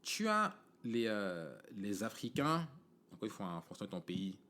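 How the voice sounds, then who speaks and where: A man's voice: on the low side, sing-song, measured pace, pushed and bright. A man in his forties from Cameroon with a Cameroonian French accent, hosting a podcast in a casual, rambling monologue full of fillers and "tu vois" asides. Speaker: podcast host.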